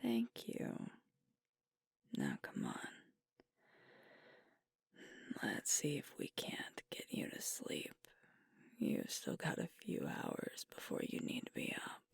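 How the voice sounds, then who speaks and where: A woman acting as a sweet alpha werewolf girlfriend in an intimate ASMR roleplay. She speaks in a very breathy whisper, slowly and with deliberate pauses. Her tone is protective and gently firm, coaxing and soothing, recorded close and dry. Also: very deep voice, huge pitch swings, dry recording, full character voice. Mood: tender, soothing.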